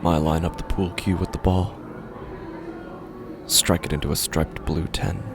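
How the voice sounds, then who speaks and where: A man narrating a first-person horror story in a halting, uneasy way, often breaking off mid-sentence, over a music score. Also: sits low, fairly even intonation, gravelly. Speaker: podcast narrator.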